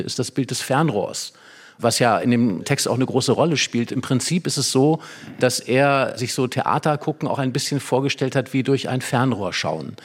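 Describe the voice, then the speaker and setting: A man speaking as an expert guest in a stage conversation, explaining reflectively and choosing phrases carefully with pauses. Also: medium pitch, slightly rough. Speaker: expert guest, dramaturg and writer. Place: hall with a live audience.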